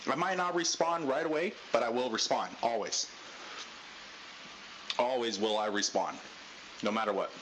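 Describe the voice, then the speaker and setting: Loud guy with lots of inflection, talking to his squad, noisy chat audio. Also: medium pitch, normal speed, pauses often. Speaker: unit leader giving a debrief. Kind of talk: casual pep talk to a group.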